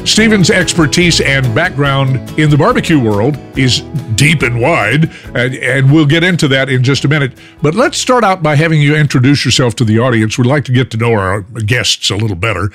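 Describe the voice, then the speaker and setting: A man's voice: mid-range voice, moderate pace, hardly any pauses, fairly bright tone, faint music behind. A man hosting a radio cooking show, upbeat and folksy in casual interview chat.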